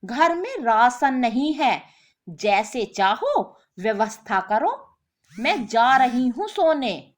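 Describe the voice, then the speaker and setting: High-voiced, scolding, fed-up wife acted by a woman. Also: very animated, slow. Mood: firm, fed up. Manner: reproachful, sarcastic. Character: fed-up, scolding working wife in a moral story.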